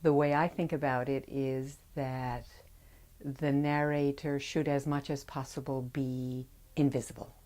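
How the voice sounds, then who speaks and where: A woman audiobook narrator speaking in an interview, careful and precise, pausing between short phrases. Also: rumbling low, flat delivery, dark tone. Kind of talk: documentary interview.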